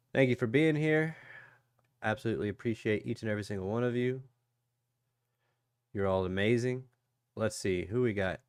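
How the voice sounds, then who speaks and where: A man with a stuffy, sniffly nose hosting a casual crypto livestream, low on energy and rambling as he greets viewers by name. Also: clear, resonant tone, no room sound, studio quality. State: congested, sniffly.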